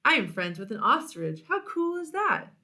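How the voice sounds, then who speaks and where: A woman voicing a small, eager, self-absorbed male bird in a storybook, excitable and boastful. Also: sits high, moderate pace, pitched above the performer's own voice, exaggerated voice.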